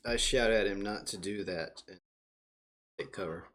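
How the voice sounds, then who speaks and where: Male player, fairly deep voice, informal and slightly hesitant tabletop game talk. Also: moderate pace, a bit bright.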